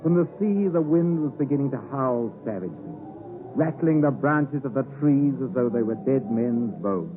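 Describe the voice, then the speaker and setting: An actor playing a literate gentleman recalling his boyhood, in dramatic first-person narration for 1950s radio drama. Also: medium pitch, lively intonation, normal speed, close to an everyday voice. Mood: ominous. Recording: tinny 1950s radio broadcast with music.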